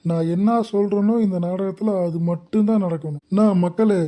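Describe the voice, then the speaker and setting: Performer as cartoon father-in-law, very dark mellow tone, Tamil accent, middle-aged, bossy, blustering, threatening. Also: mid-range voice, steady tone, moderate pace, lightly acted. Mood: domineering. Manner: domineering, blustering. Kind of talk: comic cartoon parody of a TV soap.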